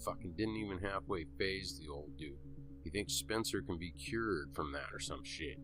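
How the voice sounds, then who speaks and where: A man's voice: deep voice, moderate pace, background noise, close to an everyday voice. A man playing a brash, blunt, foul-mouthed young man in an amateur horror audio drama, talking in casual slang. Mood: blunt, hushed worry. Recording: music behind the voice. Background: background music.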